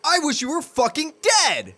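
Man voicing an incredulous, foul-mouthed young guy, wildly swinging pitch. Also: sing-song, moderate pace, a bit bright, full character voice. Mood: exasperated. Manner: crude, incredulous, swearing. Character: young man, exasperated genie master. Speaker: voice actor. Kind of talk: comedy audio drama.

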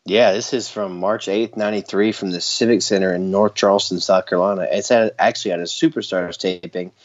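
A man's voice: deep voice, fairly even intonation, brisk, highs cut off. A man co-hosting a podcast in casual chat, rambling and joking with frequent laughs. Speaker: podcast co-host.

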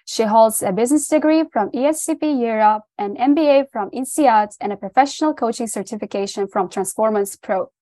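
A woman's voice: fairly high pitch, a little fast, few pauses, dark tone, no echo. A woman hosting a podcast, reading guest introductions and asking questions in a polite, upbeat way. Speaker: podcast host. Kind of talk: scripted intro and interview questions.

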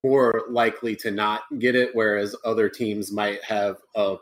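Male co-host, low flat husky voice, rambling casual sports talk. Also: unhurried. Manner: rambling, hedging with many fillers. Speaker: podcast co-host.

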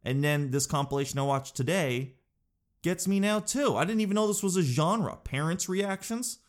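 A man's voice: on the high side, animated, moderate pace. A man talks casually to his camera, his nose stuffed up from crying. He rambles in a chatty, self-mocking way and keeps tossing in "dude.". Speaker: vlogger.

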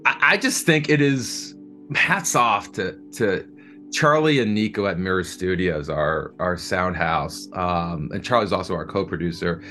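A man with a fairly low voice gives a reflective interview as a producer. He speaks earnestly and with enthusiasm, often doubling back on words while he searches for the right phrase. Faint music plays behind him. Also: unhurried, bright, forceful tone. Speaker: audio drama producer being interviewed.

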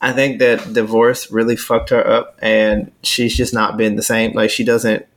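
A man co-hosting a casual chat, talking emphatically and rising into sharp exclamations. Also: lowish voice, flat delivery, unhurried. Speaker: podcast co-host.

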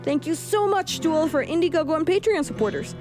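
A woman's voice: high voice, animated, quick pace, music in the background. A woman announcer reading scripted closing credits for a podcast.

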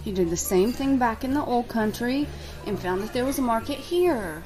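An anxious, devoted fiancée played by a woman speaks in a high voice in an audio drama. She is hesitant and evasive, as if guarding a secret. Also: lively intonation, moderate pace, music in the background, natural voice. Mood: evasive, guarded. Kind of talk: scripted audio drama acting.

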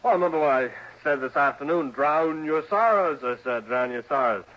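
A man's voice: fairly high pitch, animated, moderate pace, fairly bright tone. A man playing an anxious, hard-drinking young husband in a mid-century radio drama, hesitant and stammering. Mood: tipsy, evasive. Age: young adult. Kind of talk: 1950s-60s radio drama acting. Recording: dull old radio recording.

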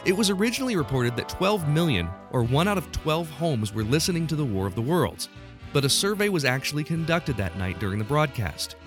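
A man hosting a podcast, reading scripted narration in a clear explanatory way. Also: a little high, very animated, fairly quick, soft background music. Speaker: podcast host. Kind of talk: scripted narration, then casual phone chat with guests.

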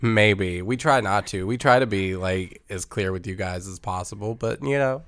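Smooth, clear-voiced casual podcast co-host. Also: low voice, fairly bright tone, dry recording, studio quality. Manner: informal, sweary, halting.

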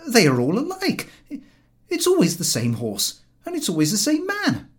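A man voicing an exasperated, irritable gentleman in a comic audiobook, with an indignant, blustering, emphatic manner. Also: sits high, huge pitch swings, normal speed, pitched above the performer's own voice. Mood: angry, insistent. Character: exasperated, irritable gentleman, quick to take offence.

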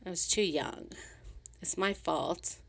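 A drunk, unstable young woman, put on by a woman reading a story, voice pitched up and pushed loud. She hurls sarcasm and breaks into shrill rants. Her tone stays bitter and wild. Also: takes it slowly, vocal fry, heavily acted. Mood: tearful.

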